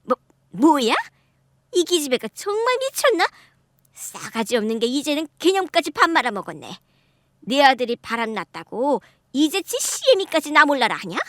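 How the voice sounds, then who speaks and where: A woman's voice: high voice, very animated, moderate pace, a bit bright, no room sound, studio quality, voice pushed up. A woman voicing a harsh, contemptuous middle-aged mother-in-law who scolds shrilly. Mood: enraged.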